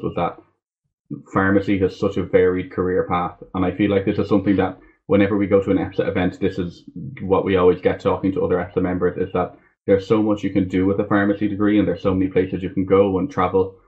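A young Irish man, a pharmacy student, chatting casually as a guest over a video call. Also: deep voice. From Ireland. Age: young adult. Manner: relaxed, rambling with fillers. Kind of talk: casual interview chat. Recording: muffled phone-like call audio.

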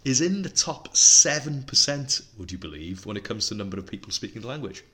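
Bright-voiced man, chatty podcaster. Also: fairly low pitch, very animated, fairly quick. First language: Welsh. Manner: chatty, enthusiastic, reeling off facts and figures. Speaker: podcast co-host. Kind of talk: casual podcast chat.

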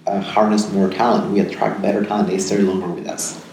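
A man's voice: on the low side, little pitch movement, fairly quick, gravelly, a little mellow. A man from Peru, in his thirties, answering interview questions thoughtfully, often repeating words and ending sentences with "right?". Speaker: podcast guest. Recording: very echoey room, faint ambient noise.

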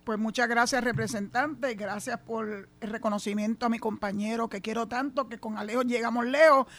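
A woman's voice: on the high side, fairly even intonation, brisk, a bit bright. A middle-aged woman with a Puerto Rican accent hosting a radio talk show, outspoken and emphatic. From Puerto Rico. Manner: outspoken, emphatic, laughs easily. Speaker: radio host. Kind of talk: casual on-air radio chat. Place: radio studio.

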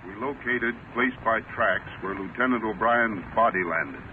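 An actor playing a dutiful field agent in an old-time radio drama, reporting in a clipped, official manner. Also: male voice, fairly low pitch, moderate pace, close to an everyday voice. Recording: tinny vintage radio recording, light noise.

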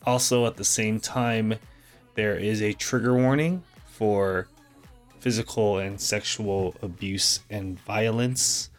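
A man hosting a podcast, gently introducing the next guest. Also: on the low side, fairly even intonation, slow, faint music behind. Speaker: podcast host.

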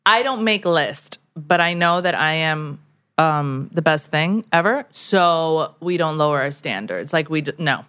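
A woman with a Miami accent co-hosts a casual podcast in a lowish voice with a lot of pitch movement. She jumps in with quick, playful remarks. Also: lively intonation, a bit bright, clear, resonant tone. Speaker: podcast co-host.

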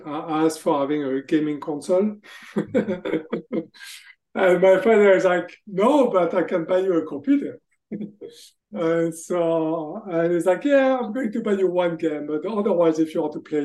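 A man's voice: on the high side, warm tone, low-quality recording. A middle-aged man from France with a French accent, answering interview questions in a hesitant, casual way with frequent restarts. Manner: hesitant, restarts phrases, laughs between thoughts. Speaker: guest, tech executive.

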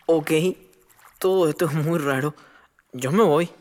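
A woman's voice: low voice, measured pace, darkish tone. A woman playing a lonely, skeptical ten-year-old boy in an audio drama. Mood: wary, puzzled.

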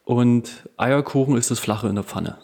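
A man with a Saxon accent, co-hosting a podcast and telling stories in a lively way with halting restarts. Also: mid-range voice. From Saxony, Ore Mountains, Germany. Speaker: podcast co-host. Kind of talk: casual chat.

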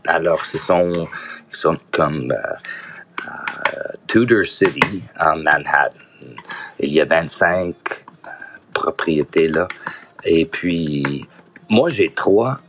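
Man, very low voice, slow unhurried delivery, halting, searching for words, casual podcast talk. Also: background noise. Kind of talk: casual solo podcast monologue.

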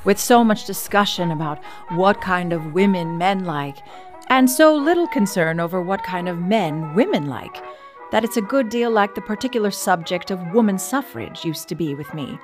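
A woman's voice: mid-range voice, lively intonation, moderate pace, over music, lightly acted. A woman playing an earnest, witty suffragist of the early 1900s in a dramatic, conversational reading of letters, with opinionated wryness. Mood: wry, earnest.

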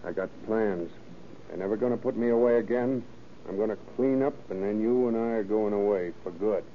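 On a muffled, faintly hissy old radio recording, an actor plays a small-time male crook with a low voice. He talks in tough underworld slang, defensive and scheming, in 1930s crime drama style. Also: a little fast, lightly acted. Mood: cocky, scheming.

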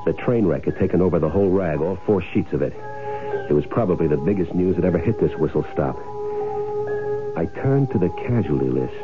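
Scheming, shaken male crime-story lead, low-leaning voice, wry and terse, vintage radio sound with heavy music. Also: lively intonation, a little fast. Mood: wry, weary. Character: scheming, shaken man narrating his own crime story. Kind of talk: old radio drama acting, hard-boiled first-person narration. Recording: muffled vintage radio drama recording, music behind.

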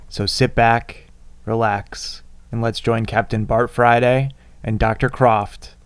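A man presents a scripted podcast introduction. His voice is smooth and on the low side, captured close and dry with no room sound. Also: dry recording. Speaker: podcast host.

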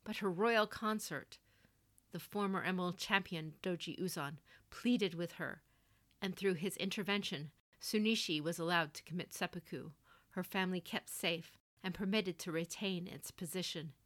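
A woman's voice: fairly low pitch, moderate pace, a bit bright, close to an everyday voice. Severe older noblewoman and general, performed by a female narrator, blunt and commanding, fantasy audio story. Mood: grave, formal.

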